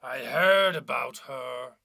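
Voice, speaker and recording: An actor playing a fat, pompous, menacing older Irish parish priest in a dramatic reading, with a deep grunting delivery. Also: male voice, creaky, bright, forceful tone, no echo, full character voice. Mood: contemptuous. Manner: pompous, slow and stern. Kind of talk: theatrical dramatic reading of literature.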